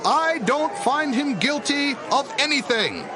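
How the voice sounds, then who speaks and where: An actor playing a weary Roman governor who insistently pleads with a crowd in a radio drama. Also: male voice, very high-pitched, lively intonation, slow, noisy background, full character voice. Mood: questioning.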